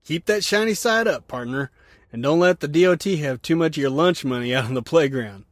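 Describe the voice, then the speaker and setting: A man's voice: on the high side, lively intonation, fairly quick, dry recording. A man hosting a podcast, reading out listener comments and answering them with wry, self-mocking jokes. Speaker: podcast host.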